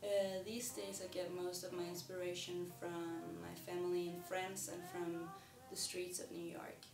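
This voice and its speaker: A young Danish woman, a singer-songwriter, talking to camera about her music in a soft, hesitant way with many pauses and ums. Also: on the low side, level tone, gravelly, reverberant, distant mic. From Denmark. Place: indoors in front of a plain wall.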